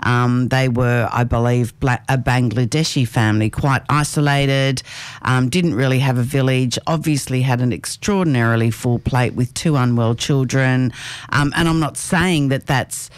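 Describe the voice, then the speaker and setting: A woman's voice: extremely low pitch, steady tone, moderate pace, smooth, no echo. An Australian woman on a talk panel, speaking hesitantly with many fillers and restarts, and growing exasperated when she makes a point. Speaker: panel guest. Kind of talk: casual panel chat.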